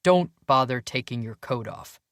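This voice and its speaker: Woman putting on a gruff, bossy big man. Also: very deep voice, expressive, normal speed, fairly bright tone, dry recording, clean studio sound. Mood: stern. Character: big, scowling, intimidating male councilman boss.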